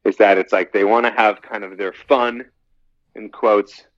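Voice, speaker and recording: A man's voice: lowish voice, steady tone, measured pace, no echo. A man in his thirties, a podcast guest talking casually and at length, often repeating his phrases as he rambles. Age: 30s. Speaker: podcast guest, dating coach. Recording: dull, slightly lo-fi, dry sound.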